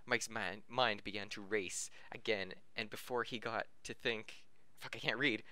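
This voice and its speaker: Bright-voiced man reading aloud, close and dry. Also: on the low side, steady tone, normal speed, no room sound. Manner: stumbles over words, self-correcting; amused, often breaking into laughter. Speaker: podcast reader. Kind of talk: reading fan fiction aloud.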